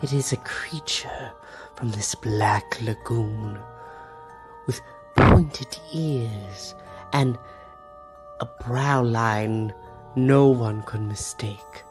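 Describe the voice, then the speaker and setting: A man telling a monster scene as game master in a very slow, theatrical voice. He sinks into whispers now and then, and faint music plays behind him. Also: mid-range voice. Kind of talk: dramatic scripted narration for a tabletop game. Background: background music.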